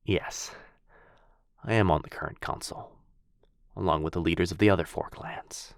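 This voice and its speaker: A man voicing a gentle, courtly male vampire lord in an ASMR boyfriend roleplay. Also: low-pitched, normal speed, gravelly, no echo, studio quality. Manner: soft-spoken, courtly and reassuring. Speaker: voice actor.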